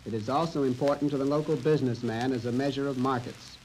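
Middle-aged American male official, formal scripted address, medium pitch, light crackle of an old soundtrack. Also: steady tone, fast talker, darkish tone. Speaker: census director giving an address.

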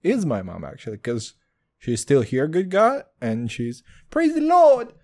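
Crude, excitable man ranting. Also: on the high side, measured pace. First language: Hebrew. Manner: crude, loud swings, calls listeners dude. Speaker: podcast host. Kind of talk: comedic solo podcast rant.

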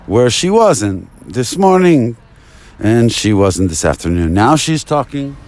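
Male, slow and theatrical, taunting delivery with big pitch swings, rambling mock phone-call monologue. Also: big pitch swings, darkish tone. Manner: theatrical, taunting, with drawn-out words and repetitions.

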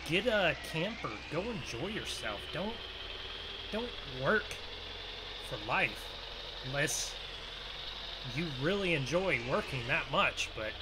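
Man, slightly raised pitch, unhurried pace, folksy rambling farmer roleplay, game narration, vehicle noise behind. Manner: rambling, folksy, thinking aloud. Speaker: gaming video host. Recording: noisy, vehicle engine sounds behind voice. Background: game engine and vehicle sounds.

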